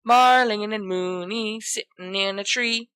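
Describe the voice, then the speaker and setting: A woman's voice: mid-range voice, measured pace, fairly bright tone, clean tone, no echo, lightly acted. Woman doing cocky dramatic teen boy, teasing and mocking, storybook dialogue, dry close sound. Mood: gleeful mockery. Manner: theatrical, mocking, emphatic. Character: brash, teasing, melodramatic teenage schoolboy. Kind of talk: expressive audiobook character dialogue.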